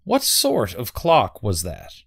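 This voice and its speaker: A woman's voice: a bass voice, huge pitch swings, slow pace, gravelly, slightly rough, full character voice. A woman playing a formal, methodical 1890s male prosecutor, questioning precisely in a dramatic courtroom reading. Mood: probing, formal.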